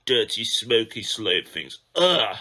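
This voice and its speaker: A man's voice: lowish voice, sing-song, slow, bright, forceful tone, heavily acted. A man voicing a haughty, self-important diesel engine in a children's storybook, with a lofty, disdainful manner. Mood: disgusted.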